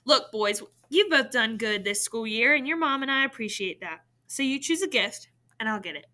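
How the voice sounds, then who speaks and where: Woman as boastful father of teen boys, bright voice, teasing, slangy, storybook dialogue. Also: a little high, expressive, moderate pace. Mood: playful, firm. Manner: playful, slangy trash talk. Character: teasing, boastful father of teenage basketball-playing twins.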